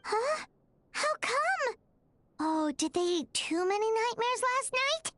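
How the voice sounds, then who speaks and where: A woman voicing a tiny, excitable fairy-like companion in a video game, squeaky and bouncy. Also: slow, dry recording, full character voice. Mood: eager. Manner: squeaky, bouncy, talks about herself by name.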